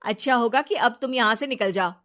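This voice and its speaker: A woman's voice: fairly high pitch, brisk, a bit bright, natural voice. A woman voicing a scheming, deceitful young female friend in a brash, wheedling story-narration style. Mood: haughty, cold. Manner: brash, wheedling, fake sobbing.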